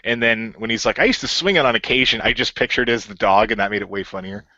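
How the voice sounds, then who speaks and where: A man, creator of a radio play, chatting animatedly with his cast and laughing loudly, with many fillers, over muffled audio. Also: lowish voice, fast, no echo. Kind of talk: casual online group chat.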